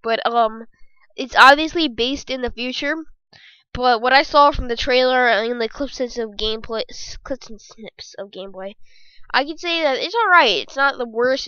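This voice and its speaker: Squeaky-voiced young woman rambling on a podcast. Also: bright, forceful tone, smooth, no echo, dull audio. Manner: rambling, jokey, self-correcting. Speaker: podcast host. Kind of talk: casual solo gaming podcast. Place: bedroom.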